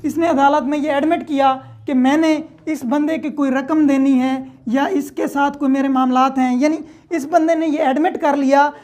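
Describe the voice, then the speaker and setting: A woman's voice: high voice, a little mellow. A woman with a Pakistani accent explaining like a lecturer, informally and didactically, repeating points and checking in as she goes. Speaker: law lecturer.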